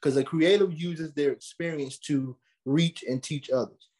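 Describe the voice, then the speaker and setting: A man's voice: on the high side, measured pace, darkish tone. A man, answering interview questions conversationally. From South Carolina, USA. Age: late teens. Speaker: interview guest, podcaster and motivational speaker.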